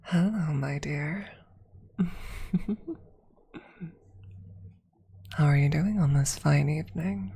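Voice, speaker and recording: A woman voicing a lovestruck, wistful immortal vampire in an ASMR roleplay, in a breathy whisper with slow, tender, formal old-fashioned phrasing. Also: deep voice, mellow, full character voice. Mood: tender, adoring.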